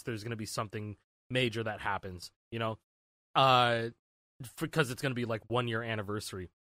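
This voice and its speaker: A young man in his late twenties co-hosts a casual podcast, giving blunt opinions and cursing freely. His voice is bright and forward, recorded dry and close to the mic. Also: mid-range voice, no echo. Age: 20s. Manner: swears freely, animated opinions. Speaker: podcast co-host. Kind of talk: casual chat.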